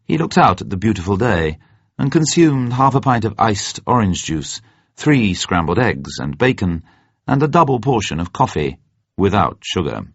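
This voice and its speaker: Deep-voiced, expressive male storyteller. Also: vocal fry, no echo, lo-fi sound. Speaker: audiobook narrator. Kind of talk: scripted dramatic narration.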